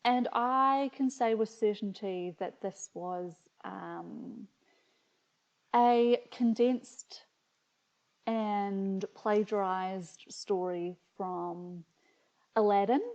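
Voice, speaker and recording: Slow, mellow-voiced New Zealand woman vlogger. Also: mid-range voice, highs cut off. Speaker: video host reading her childhood stories. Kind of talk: casual chatty commentary and read-aloud.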